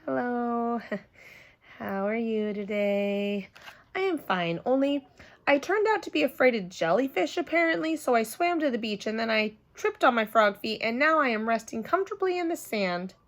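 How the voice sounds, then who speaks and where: A woman voicing an excitable, dramatic, chatty little girl in a children's storybook, blurting and repeating herself. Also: on the high side, normal speed. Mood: nervous. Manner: breathless repetition, blurting, childish phrasing.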